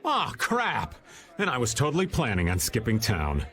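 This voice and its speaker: An American actor playing a sly, jokey older rogue in an anime game dub, with a folksy, teasing, theatrical manner. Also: male voice, mid-range voice, wildly swooping pitch, moderate pace, a bit bright. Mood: playful.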